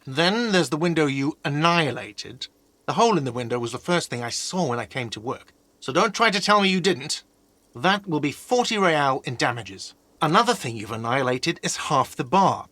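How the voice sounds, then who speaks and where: An actor playing an exasperated, sarcastic hostel manager in a video game, with an irritated, condescending way of talking. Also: male voice, fairly high pitch, big pitch swings, normal speed, pushed and bright, dry recording, heavily acted.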